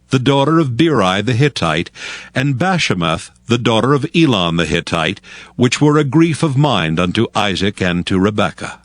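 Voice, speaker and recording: A man's voice: mid-range voice, no echo. A man giving solemn, scripted narration of scripture as the narrator of an audio drama.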